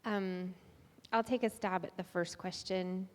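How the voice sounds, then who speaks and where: A woman's voice: lowish voice, steady tone, unhurried. A woman speaking unscripted to an audience, hesitant, humble and thoughtful. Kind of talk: unscripted public testimonial. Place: large echoey room at a graduation event. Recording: echoey hall, long reverb.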